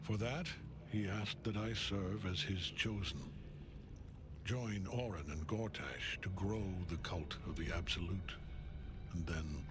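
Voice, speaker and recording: An actor playing a grim old general and death cultist in a video game, solemn, menacing and grandiose. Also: male voice, sits low. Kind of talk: dramatic video game villain acting. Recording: cinematic music behind the voice.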